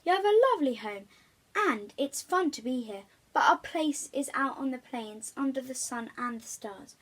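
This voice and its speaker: A kindly storybook elephant, read by a woman. It explains itself gently and earnestly, wise and contented. The voice is fairly high and slightly husky. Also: lively intonation, normal speed. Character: kind, wise, contented wild elephant of the plains. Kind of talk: children's storybook character voice.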